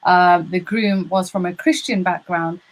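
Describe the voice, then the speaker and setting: A woman's voice: lowish voice, steady tone, measured pace. A woman hosting a live chat, asking follow-up questions and affirming her guest often. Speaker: host, interviewer.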